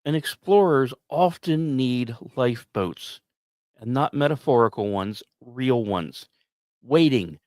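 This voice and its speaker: A man hosting a podcast, delivering scripted storytelling narration with dramatic emphasis and deliberate pauses. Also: medium pitch, a little mellow, dry recording, studio quality. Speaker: podcast host.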